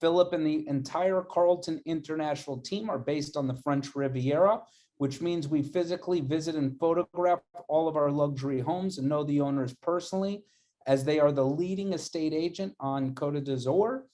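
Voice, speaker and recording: Upbeat guy hosting an interview, kinda high voice, gushing. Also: normal speed, mellow. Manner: enthusiastic, effusive. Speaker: interview host. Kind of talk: upbeat video-call interview.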